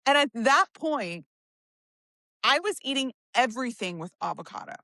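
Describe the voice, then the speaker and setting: A woman's voice: high voice, very animated, normal speed, bright, forceful tone, no room sound, studio quality. A woman co-hosting a podcast, talking casually and relatably about personal experience. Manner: chatty, relatable, with frequent "like" fillers. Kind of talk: casual conversational chat.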